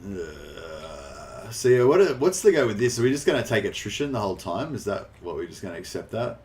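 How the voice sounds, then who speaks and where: An Australian man chatting casually on a live game stream, rambling and halting, with some swearing. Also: on the low side, very animated, darkish tone. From New South Wales, Australia. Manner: rambling, halting, foul-mouthed. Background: faint game music.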